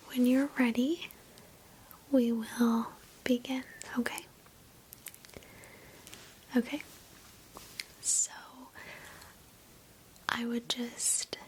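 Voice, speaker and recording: Woman whispering a very slow guided meditation. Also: fairly high pitch, a little hiss or hum, poor audio. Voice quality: soft, breathy whisper. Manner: gentle, soothing, reassuring. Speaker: ASMR host guiding a meditation. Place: indoors, sitting on a bed.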